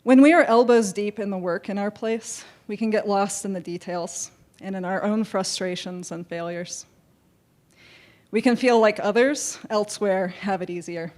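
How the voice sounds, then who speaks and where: Slightly hoarse woman telling a story. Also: mid-range voice, a little mellow. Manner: earnest, deliberate storytelling. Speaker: panelist. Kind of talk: storytelling talk on a panel. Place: conference stage, seated. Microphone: handheld mic.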